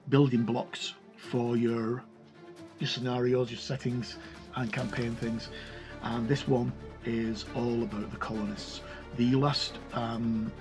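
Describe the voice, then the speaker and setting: Man reviewing casually over background music. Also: mid-range voice. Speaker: video reviewer. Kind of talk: casual tabletop game product review.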